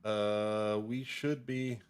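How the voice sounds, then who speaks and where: Low-voiced man hosting a casual show. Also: steady tone, very slow, clean tone. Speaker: host of a fan fiction show. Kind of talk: casual chat.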